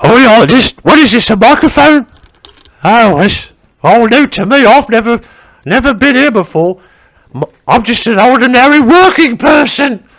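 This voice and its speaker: A male comedian doing a nosy, crude old working-class wife who rambles on and on. The voice sits very high with a gravelly rasp. She keeps losing her thread and hollers at her husband and the dog. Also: lively intonation, normal speed, heavily acted. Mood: gossipy. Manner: rambling gossipy storytelling, shouting to husband and dog. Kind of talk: stand-up character monologue.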